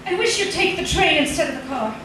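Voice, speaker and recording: An actress playing an anxious middle-aged housewife in a 1940s radio drama, speaking with worried, hesitant pleading. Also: female voice, very high-pitched, lively intonation, quick pace. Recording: echoey, noisy old radio recording, distant.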